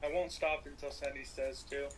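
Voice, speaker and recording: A man's voice: on the high side, flat delivery, normal speed. A man talking casually with others while playing a multiplayer game.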